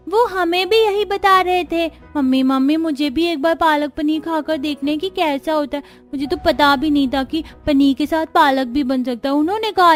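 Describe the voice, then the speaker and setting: A woman's voice: high voice, voice pushed up, natural voice. A woman voicing a young child who begs a mother, in a moral-story cartoon. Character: pleading young child begging mother for food.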